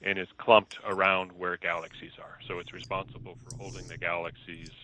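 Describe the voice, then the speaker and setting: A man speaking as a physicist, giving an informal scientific explanation in a measured, didactic way, restating himself as he goes. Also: deep voice, flat delivery, sharp tone, poor audio. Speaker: physicist, laboratory researcher.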